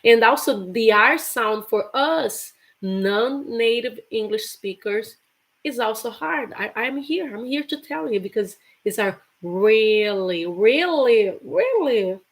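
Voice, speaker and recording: Woman, English teacher, somewhat high voice, slow, chatty. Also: animated. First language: Portuguese. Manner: chatty, repeats example phrases with laughs. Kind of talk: live online English lesson.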